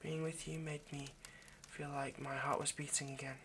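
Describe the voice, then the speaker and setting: A man's voice: on the high side, little pitch movement, moderate pace, natural voice. A man playing a nervous, centuries-old vampire kidnapper in an intimate ASMR roleplay, hesitant, stammering and apologetic as he speaks to the listener. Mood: lovesick pleading. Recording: faint background noise.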